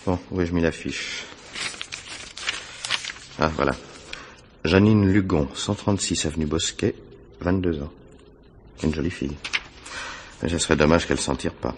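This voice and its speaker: An actor playing a blasé hospital intern in a 1950s-style French radio crime drama, offhand and unhurried. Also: male voice, a bass voice, a little hiss or hum. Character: blasé hospital intern, casually reporting on a patient.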